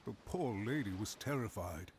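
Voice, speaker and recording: A haughty, scheming clan official from a video game. His delivery is pompous and wordy, swinging widely in pitch with theatrical indignation. Faint noise sits behind the voice. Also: lively intonation, moderate pace, a little mellow. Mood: indignant, dismissive. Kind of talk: scripted video game villain acting.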